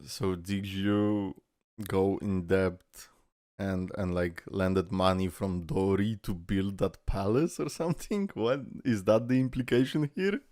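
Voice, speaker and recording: A man's voice: low voice, a little slow, darkish tone, dry recording, clean studio sound. A young man hosting a casual reaction video, joking loosely, swearing and laughing often.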